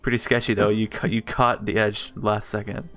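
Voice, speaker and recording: A man chatting casually as a co-op gaming partner, easygoing and quick to laugh. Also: fairly low pitch, level tone, a bit bright, soft background music. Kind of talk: casual gameplay chat.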